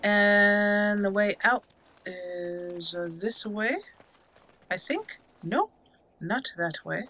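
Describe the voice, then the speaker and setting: A woman's voice: medium pitch, very slow, bright, forceful tone, a little hiss or hum. A woman hosting a gaming channel, chatting casually and thinking aloud as she plays. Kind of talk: casual let's play commentary. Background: game music.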